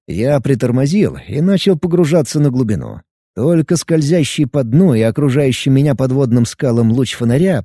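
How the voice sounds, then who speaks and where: Male audiobook reader; dark, husky tone, animated delivery. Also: medium pitch, dry recording, studio quality. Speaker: audiobook narrator. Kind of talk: first-person audiobook narration.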